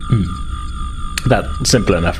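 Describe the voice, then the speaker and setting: A man improvising casually as a tabletop roleplay player, halting and informal, often repeating his words. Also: deep voice, faint music behind.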